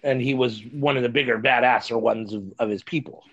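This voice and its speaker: Male co-host, mid-pitched, conversational film-podcast style, slightly muffled remote-call recording. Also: fairly even intonation, fairly quick, fairly bright tone. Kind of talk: casual movie review chat.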